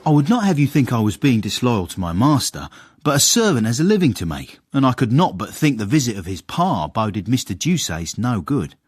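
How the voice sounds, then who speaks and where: An actor playing a sly manservant who narrates in a confiding, mock-loyal way for a radio drama. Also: male voice, medium pitch, very animated, a little fast, breathy edge.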